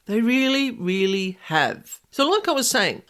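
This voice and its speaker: A middle-aged woman with a loud, extravagant voice, talking casually as a podcast host. Her pitch swoops up and down with animated energy as she drifts from one tangent to the next. She sounds like she is chatting freely rather than reading. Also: measured pace. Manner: extravagant, animated, easily sidetracked. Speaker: podcast host, paranormal investigator.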